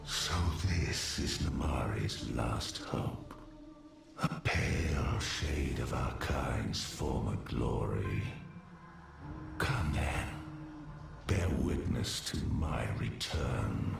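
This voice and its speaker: A man playing an ancient, contemptuous demon lord in a video game, delivering grandiose threats in a deep gravelly voice. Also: background noise, heavily acted. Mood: gloating menace. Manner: slow, menacing, theatrical proclamations. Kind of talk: video game villain acting. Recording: heavy echo under loud game music.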